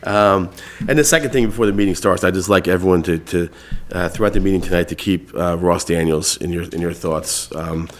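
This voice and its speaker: A congested man with a very low voice chairs a town board meeting from a table microphone. He talks casually, with many fillers and restarted phrases. He sounds to be in his thirties. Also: brisk, few pauses. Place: town hall meeting room.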